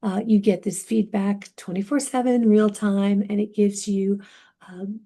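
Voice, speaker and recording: A woman's voice: mid-range voice, vocal fry, warm tone. A woman instructor giving a recorded class lecture in a conversational way, with frequent ums and restarts.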